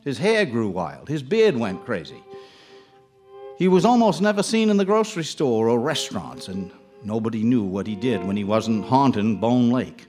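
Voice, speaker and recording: Radio drama narrator: male actor as wry folksy fisherman, lively mid-range voice, faint music. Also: mid-range voice, normal speed, natural voice. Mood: wry, storytelling. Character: wry, folksy small-town ice fisherman telling ghost tale.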